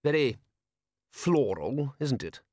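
A man voicing an urbane aristocratic ruler in an audiobook, measured and drily ironic. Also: mid-range voice, very animated, darkish tone, no room sound, studio quality, pitched above the performer's own voice. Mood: dry irony. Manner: measured, deliberate, drily sardonic.

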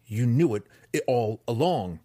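A man reading an audiobook, voicing a defiant young male dark elf warrior who stammers and strains his words. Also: medium pitch, animated, a little slow, no echo. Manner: stuttering, strained. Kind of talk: audiobook character voice.

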